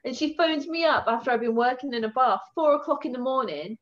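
A woman's voice: high voice, a little fast, a bit bright, highs cut off. A woman who works as a tattoo artist, chatting casually as a guest, telling stories, swearing and laughing. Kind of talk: casual chat.

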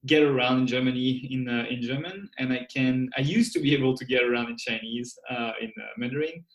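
A young man, a native French speaker with a French accent, answering a podcast host's questions in a thoughtful, measured way. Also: medium pitch, echoey room, lo-fi sound. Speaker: podcast guest. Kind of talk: casual podcast interview.

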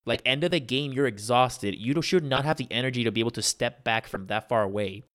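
A man's voice: medium pitch, fast talker, fairly bright tone. A man in a casual sports discussion, explaining carefully with many restarts. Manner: analytical, hesitant restarts.

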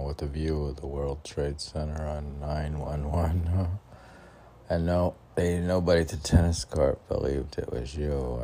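An older man rambling through a solo podcast and poem readings, halting and musing, often questioning himself aloud. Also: rumbling low, dark tone, slight background noise. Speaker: poet reading own poems on a podcast.

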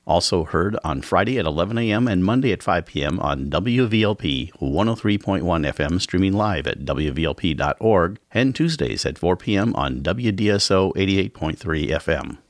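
Brief: male public radio host, deep and dry, reads announcements and intros. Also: expressive, normal speed, hardly any pauses, dry recording. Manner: reads announcements, then loose with fillers. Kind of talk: radio show hosting.

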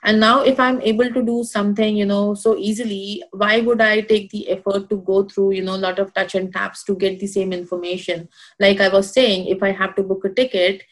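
A woman with an Indian accent speaks in a relaxed, conversational way. Her voice sits at an ordinary middle pitch. She keeps stopping to think with "uh" and "you know" as she explains. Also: brisk, rough recording. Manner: many fillers, thinks aloud with "you know" and "uh". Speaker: guest expert. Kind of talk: casual conversational interview.

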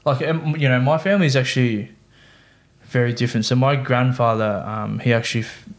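A man's voice: mid-range voice, fairly even intonation, fairly quick. A young man, a podcast guest, talking casually and rambling through fillers and restarts. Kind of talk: casual chat.

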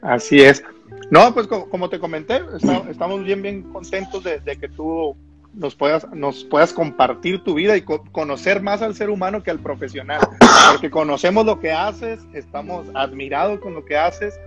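A man's voice: on the high side, normal speed, highs cut off, over music. A man hosting a casual livestream interview, friendly and encouraging. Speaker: podcast host.

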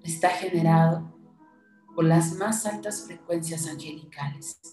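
A woman leading a guided meditation in a soothing manner, giving short instructions with pauses between them. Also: low-pitched, mellow, echoey room, low-quality recording. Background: music.

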